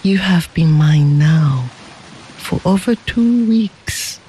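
A reader voicing a gentle, devoted young wife in a storybook, speaking softly, tenderly and earnestly. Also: medium pitch, lively intonation, slow, a little hiss or hum, poor audio.

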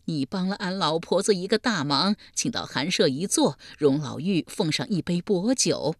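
A woman's voice: fairly low pitch, lively intonation, moderate pace, no echo, studio quality. A woman voicing a desperate old peasant woman who sobs and pleads. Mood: grateful. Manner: sobbing, pleading.

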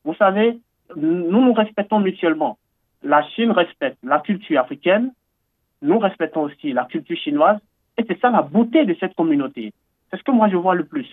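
High-voiced man on a tinny line. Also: animated, moderate pace, no room sound. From Benin. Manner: earnest, enthusiastic, with frequent fillers and repetitions. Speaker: interviewee. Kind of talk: radio interview. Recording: tinny phone-like line, dry.

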